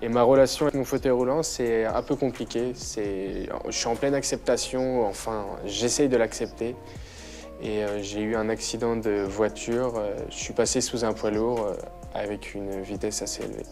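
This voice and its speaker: A young man in his twenties speaks haltingly in an interview, filling pauses with hesitation sounds. Faint music sits under his voice. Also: on the low side, fairly even intonation, darkish tone. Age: 20s. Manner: hesitant, many fillers. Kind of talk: hesitant personal testimony.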